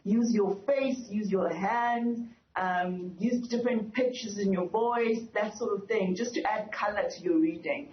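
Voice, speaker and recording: Female educator guest, mid pitch, earnest and explanatory, echoey lo-fi link. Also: measured pace. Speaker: author and educator, interview guest. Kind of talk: explanatory live news interview. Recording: dull, echoey lo-fi remote link.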